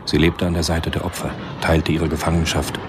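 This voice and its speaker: Male narrator for literary thriller radio drama: extremely low pitch, grave, measured, slight noise on recording. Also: level tone.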